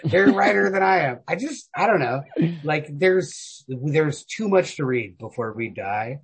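A man's voice: fairly high pitch, lively intonation, unhurried, husky. A man chatting casually about books as a podcast host, slangy and emphatic, swearing freely. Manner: profane, slangy, emphatic, hedging with repeated "like". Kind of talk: casual chat about literature.

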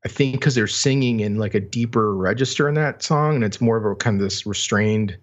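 A man's voice: lowish voice, a little fast. A man talking casually about music as a podcast host, on a slightly dull, lo-fi recording.

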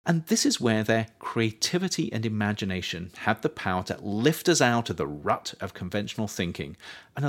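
A man reading his own nonfiction book aloud as scripted audiobook narration, with measured, emphatic phrasing. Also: fairly low pitch.

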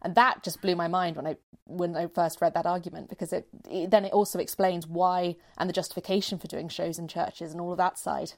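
Woman, slightly lower register, enthusiastic casual discussion of creative work, conversational delivery. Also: level tone, fairly quick, few pauses.

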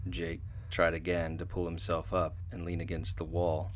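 A man giving somber, measured, scripted dramatic narration for radio theatre. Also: sits low, completely flat delivery.

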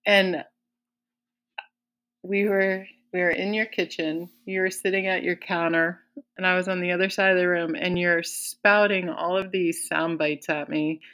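Deep-voiced, opinionated woman. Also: fairly even intonation, unhurried, fairly bright tone. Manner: opinionated, laughs while talking. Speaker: podcast co-host. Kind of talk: casual conversation.

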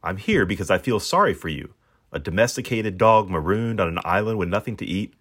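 A man's voice: lowish voice, animated, brisk, fairly bright tone, slightly rough. A man voicing a blunt, practical, slightly condescending seagull in a children's storybook reading. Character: cocky, matter-of-fact male seagull offering help.

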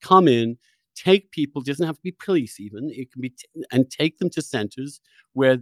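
A man with a medium-pitched voice, recorded dry and close, hosts a debate-style interview. He challenges the other side and presses his questions. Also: expressive, normal speed, a bit bright, dry recording. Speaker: interviewer, podcast host.

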